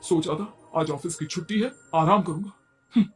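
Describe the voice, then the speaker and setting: A harried young husband voiced by a man in a moral-story cartoon. His voice is high, and he pleads and complains in exasperation, with music running underneath. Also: normal speed, natural voice. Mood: weary, complaining.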